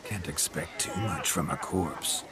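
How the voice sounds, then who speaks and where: A man's voice: a bass voice, unhurried, loud music behind the voice. An actor voicing an amorous male ghost speaking through another man, in a flowery and flirtatious manner.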